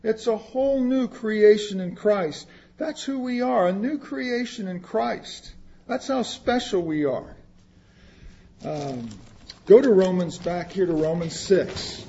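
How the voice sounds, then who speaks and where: A man's voice: sits high, slow pace, vocal fry, warm tone, husky, lo-fi sound. A man preaching a sermon, reading scripture aloud and explaining it in plain words, with asides to the congregation. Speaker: pastor.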